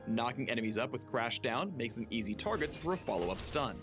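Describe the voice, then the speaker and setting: Animated male narrator over music. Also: medium pitch, a little fast. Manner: polished, punchy, playful. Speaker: narrator of a game character guide. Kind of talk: scripted promotional narration. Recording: music bed under narration.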